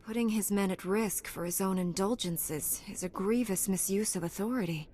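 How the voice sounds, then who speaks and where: An actress playing a noble, resolute knight in a video game, speaking formally and solemnly. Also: female voice, medium pitch, normal speed, warm tone, slight background noise, close to an everyday voice. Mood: stern, resolute.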